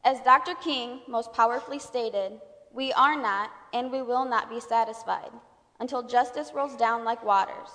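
A woman's voice: fairly high pitch, fairly bright tone. A woman delivering a scripted formal speech in a solemn, declamatory manner. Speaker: speaker at a convocation. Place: large indoor hall. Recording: reverberant hall.

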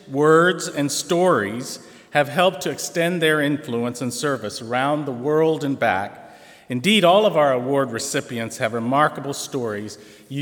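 A college president introducing award recipients at a convocation, measured and formal with a touch of humor. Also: male voice, medium pitch, fairly bright tone. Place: large auditorium. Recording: reverberant auditorium.

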